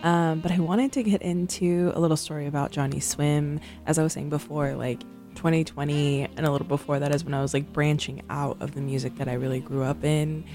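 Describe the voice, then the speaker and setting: A woman's voice: deep voice, steady tone, fairly quick, hardly any pauses, warm tone. A woman in her twenties hosting a radio music show, chatting casually between songs with many ums and likes. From San Francisco. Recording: faint music behind.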